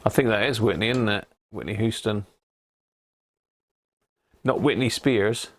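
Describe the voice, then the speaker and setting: A man's voice: on the low side, measured pace, background noise. A British man hosting a casual livestream, talking informally to viewers and thinking aloud with frequent tag questions. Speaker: livestream host.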